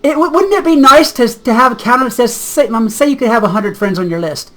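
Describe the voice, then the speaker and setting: A woman hosting a casual gaming vlog, slightly hoarse as if getting sick, chatty and rambling and easily sidetracked. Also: fairly high pitch, lively intonation, a little fast, fairly bright tone. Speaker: gaming channel host.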